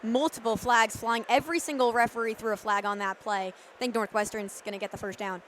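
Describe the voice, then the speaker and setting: Young woman, fairly high voice, football color commentator, noisy stadium background. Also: steady tone, brisk. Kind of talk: live American football analysis. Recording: live stadium booth with background noise. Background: stadium ambience.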